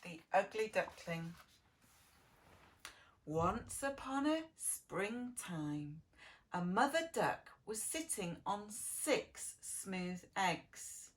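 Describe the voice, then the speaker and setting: A woman reads to preschoolers as their teacher. Her pitch rises and falls freely and her pace is leisurely. She speaks in an exaggerated sing-song, pausing to put gentle questions to small children. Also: big pitch swings. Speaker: preschool early years teacher reading a story. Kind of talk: children's storytelling, addressing preschoolers.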